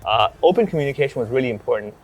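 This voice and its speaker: A man's voice: mid-range voice, very fast. A man in his thirties from Hong Kong, a comedian chatting casually and acting out bits of dialogue as he talks. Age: 30s. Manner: animated storytelling, acts out dialogue. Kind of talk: casual chat.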